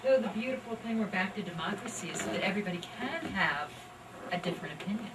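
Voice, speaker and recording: A woman with a medium-pitched voice hosts a live event in an informal way. She is heard from away from the microphone, with loud ambient noise all around her. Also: expressive, quick pace. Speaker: event host. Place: library event room, from a distance. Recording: distant recording, very noisy room ambience.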